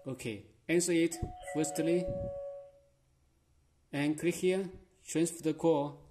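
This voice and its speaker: Man, fairly high voice, casual product demonstrator, halting short phrases, loud music underneath. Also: fairly even intonation. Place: small indoor room. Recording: music behind the voice.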